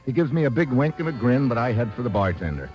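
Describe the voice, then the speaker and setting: A man's voice: mid-range voice, sing-song, fast talker, darkish tone, highs cut off, lightly acted. A man playing a tough, wisecracking American investigator in an old radio drama, speaking in a clipped, hard-boiled way. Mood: wry, suspicious. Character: tough wisecracking American male investigator narrating his own case. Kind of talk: 1940s-50s American radio detective acting, first-person narration. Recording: old radio recording with music behind.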